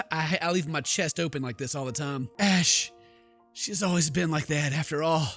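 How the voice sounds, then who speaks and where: A man streams a video game with a high, bright voice. He reads the story text dramatically and breaks into slangy, excitable asides. Music plays softly behind him. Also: moderate pace. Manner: jokey, theatrical, excitable, slangy exclamations. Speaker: gaming streamer. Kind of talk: let's play commentary with dramatic reading of game text.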